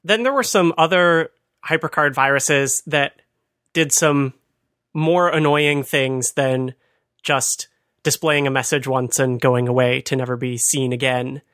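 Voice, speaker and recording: A man's voice: mid-range voice, steady tone, unhurried, a bit bright, no echo. Chatty man explaining, dry close sound. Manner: chatty, laughs at his own asides. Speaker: podcast host. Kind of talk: casual explanatory chat.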